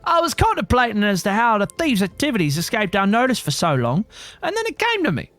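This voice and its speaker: A man's voice: high voice, huge pitch swings, brisk, a bit bright, dry recording, faint music behind, voice pushed up, exaggerated voice. A man voicing an anxious, earnest video game character who frets in formal, exclamatory speech. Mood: worried, suspicious. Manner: fretful, exclamatory, formal wording. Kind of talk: reading video game dialogue aloud.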